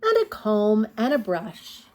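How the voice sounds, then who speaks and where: A woman hosting a children's storytime, reading picture books aloud in an expressive, sing-song way and talking directly to young listeners. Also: medium pitch, slow, slight background noise.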